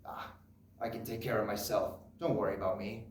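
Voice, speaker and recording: A man playing a proud, stubborn father of nearly eighty in a solo stage drama, gruff and rambling as he brushes off concern. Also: fairly low pitch, steady tone, a little fast, pitched below the performer's own voice, lightly acted. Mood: stubborn, dismissive. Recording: roomy, echoing live recording.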